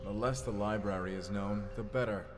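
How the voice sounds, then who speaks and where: A man's voice: on the low side, steady tone, moderate pace, long reverb tail, over music, close to an everyday voice. A man voicing a cold, controlling male sorcerer who speaks in a stern, scheming way.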